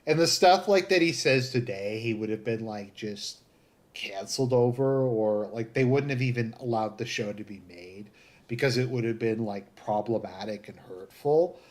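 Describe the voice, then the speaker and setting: Rambling Southern Ontario man, chatting casually. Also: on the low side. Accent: Southern Ontario Canadian. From Southern Ontario, Canada. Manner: rambling, halting, thinking aloud. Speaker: livestream host. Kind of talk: casual chat, live commentary.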